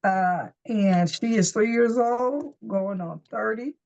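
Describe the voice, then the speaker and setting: A woman's voice: medium pitch, slow, a little mellow, muffled recording. A woman in her fifties, a volleyball coach, talking casually and unhurriedly, with many fillers. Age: 50s. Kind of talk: casual chat.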